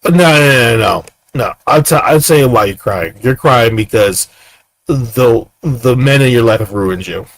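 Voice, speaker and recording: A man's voice: mid-range voice, lively intonation, a little slow, fairly bright tone, no echo, rough recording. A man in his thirties giving ranting commentary, firing off repeated rhetorical questions. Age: 30s. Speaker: commentator reacting to clips.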